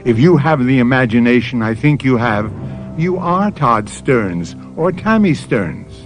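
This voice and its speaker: A man hosting and narrating a radio mystery drama in a theatrical, deliberate way, addressing the listener directly. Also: medium pitch, expressive. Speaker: host and narrator. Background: music.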